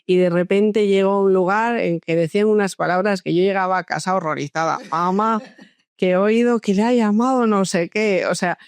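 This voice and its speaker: A woman psychologist born in France, telling her story in a relaxed interview with many hesitations and restarts. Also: mid-range voice, moderate pace, clear, resonant tone, dry recording. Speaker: guest psychologist. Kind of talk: conversational interview.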